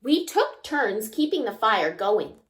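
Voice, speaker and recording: A woman reading a children's picture book aloud expressively, pausing to ask young listeners simple questions. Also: on the high side, sing-song. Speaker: children's story time reader.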